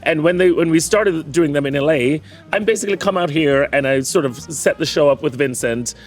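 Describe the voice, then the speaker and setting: A man's voice: fairly high pitch, fairly quick, soft background music. Playful man with a Jamaican accent. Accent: Jamaican, with classical training polish. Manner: playful, theatrical, self-mocking. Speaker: performer and show host. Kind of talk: casual interview chat.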